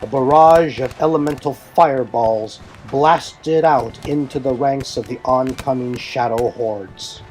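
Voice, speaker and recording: A man's voice: medium pitch, unhurried, hardly any pauses, a little mellow, faint music behind, poor audio. A man reading scripted fantasy narration in a formal, dramatic storytelling manner. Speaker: narrator and reader.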